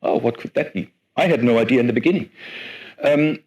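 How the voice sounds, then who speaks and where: A man in his forties giving a lively conference talk as guest speaker, chatty and self-deprecating with many fillers. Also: mid-range voice, brisk, highs cut off. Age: 40s.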